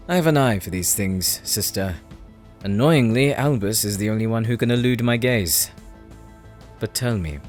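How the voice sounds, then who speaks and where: A shrewd, devout captive, acted by a man, speaks in a fairly low voice. His wording is formal and probing, as in a fantasy audio drama, with quiet music behind him. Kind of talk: audio roleplay acting.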